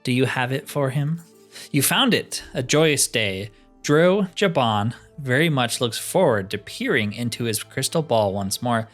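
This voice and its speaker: A man's voice: medium pitch, animated, unhurried, faint music behind, natural voice. A man reading the part of a courteous, scholarly cat-man spell trainer, speaking formally in game dialogue. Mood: pleased, eager. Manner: formal, speaks of himself in third person.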